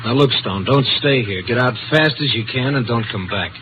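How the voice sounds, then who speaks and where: An actor in a 1950s radio drama playing a tough, wary police officer, curt and commanding. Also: male voice, mid-range voice, moderate pace, natural voice. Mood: tense warning. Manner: curt, barking orders. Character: brusque, wary Chicago beat cop, tough and commanding. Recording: noisy muffled old radio recording.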